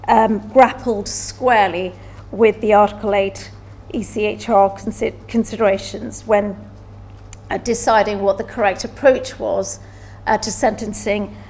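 A woman's voice: mid-range voice, fairly even intonation, measured pace, darkish tone. A middle-aged woman giving a seminar talk as a legal panelist, hesitant and often repeating words. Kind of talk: seminar talk on case law. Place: indoor seminar room, seated at table.

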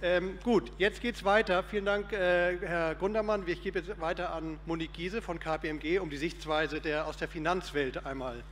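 A man moderating a conference panel discussion in a brisk, informal way. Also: sits high, fairly even intonation, fairly bright tone. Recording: reverberant PA sound with faint noise.

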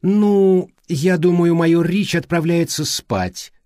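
Guy doing a female secretary in an audiobook, voice pitched up, hesitant, plain and unimaginative. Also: takes it slowly, a little mellow, no echo, clean studio sound. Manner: hesitant, matter-of-fact. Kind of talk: audiobook character dialogue.

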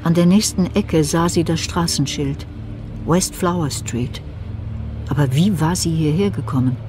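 Thriller narrator over music. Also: female voice, low voice, measured pace. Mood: suspenseful. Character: suspenseful third-person storyteller of a thriller. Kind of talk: scripted radio play narration.

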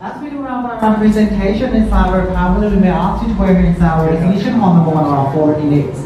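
A man's voice: sits high, rapid-fire, lo-fi sound, a crowd in the background. A man guiding visitors through an exhibition hall, making polite, repeated announcements. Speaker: pavilion guide. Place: indoor exhibition hall, heard from a distance. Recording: echoey hall from far off.

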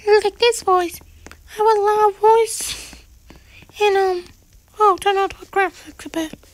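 A man using a pitch-shifting voice changer to make a squeaky high voice-changed persona, chatting slowly and offhand while playing a game. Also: fairly even intonation.

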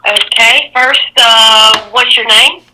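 A woman's voice: on the high side, steady tone, slow pace, heavy background noise. A woman answering an office phone, firm and procedural as she explains things. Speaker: district attorney's office receptionist. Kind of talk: phone conversation.

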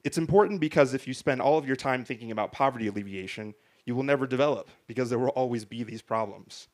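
A man's voice: medium pitch, quick pace. Man moderating a stage panel. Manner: conversational, frequent "right?" and restarts. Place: large hall stage before an audience. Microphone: handheld mic.

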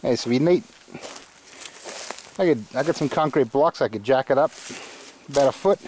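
A middle-aged Australian man giving a rambling, relaxed walking tour outdoors in the woods, full of fillers and restarts. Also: medium pitch, moderate pace, a little hiss or hum. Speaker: channel host. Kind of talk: casual walking tour vlog. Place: outdoors in rural woodland.

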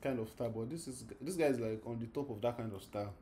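Young man from ghana, relaxed chat. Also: mid-range voice, racing, slight background noise. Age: young adult. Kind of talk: casual reaction chat. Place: living room sofa, indoors.

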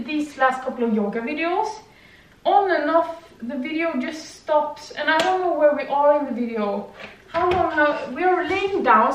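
Young woman rambling on, kinda high voice, echoey living room, far from the cam. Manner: rambling, chatty, frequent "okay?" tags. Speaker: vlogger. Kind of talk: casual chat while doing yoga. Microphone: far camera.

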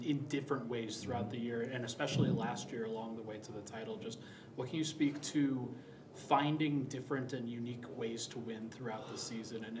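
A man's voice: mid-range voice, noisy background. Distant male reporter in an echoey room. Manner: rambling, hedging questions. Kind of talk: press conference question.

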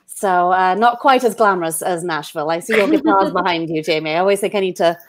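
A Welsh woman in her forties, chatting on a video call from home with earbuds, self-deprecating and quick to laugh. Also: on the low side. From Wales. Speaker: guest playwright. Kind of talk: casual video-call chat. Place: home room.

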